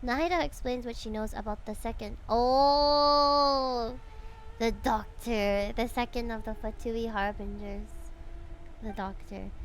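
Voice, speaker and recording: A woman streamer reading game dialogue aloud, breaking off for playful, animated asides. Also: a little high, slow, slight background noise, rough recording. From Philippines.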